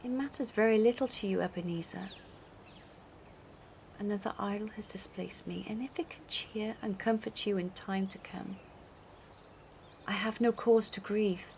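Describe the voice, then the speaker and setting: A woman's voice: medium pitch, steady tone, moderate pace, long pauses, a little mellow, slight background noise, close to an everyday voice. A woman playing a sorrowful, principled young sweetheart in an audio drama. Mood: hurt, quiet.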